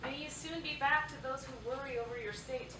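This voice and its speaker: A woman performs an earnest volunteer consoling soldiers, in a fairly high voice. It is a staged reading, captured from off in a noisy hall. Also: fairly even intonation, fairly quick, close to an everyday voice. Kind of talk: staged play reading, rhymed verse. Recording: echoey theatre, distant mic, noisy.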